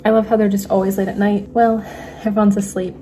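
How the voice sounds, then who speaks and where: A young woman chats casually into a phone propped on her kitchen counter late at night, giggling and often sinking to a hushed, near-whispered voice. Her tone is warm and mellow rather than bright. A faint hum of noise sits behind her. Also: mid-range voice, little pitch movement, quick pace, poor audio. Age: young adult. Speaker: vlogger. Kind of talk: casual cooking vlog chat. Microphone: phone camera on the counter.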